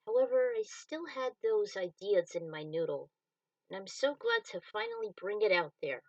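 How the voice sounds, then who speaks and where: Woman narrator for self-written fan fiction, mid-pitched, recorded some way from the microphone. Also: sing-song, normal speed, a little mellow, muffled recording. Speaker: author reading their own fan fiction. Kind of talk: story narration read aloud. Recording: voice distant from the mic.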